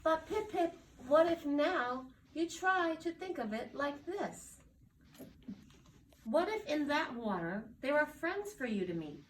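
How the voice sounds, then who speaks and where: An encouraging penguin mother from a picture book, played by a woman. The voice is lifted and melodic, coaxing gently. It comes from a little way off the microphone, over faint noise. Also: high voice, very animated, slow, echoey room, pitched above the performer's own voice, full character voice. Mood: coaxing, reassuring. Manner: coaxing, reassuring. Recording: distant mic, faint background noise.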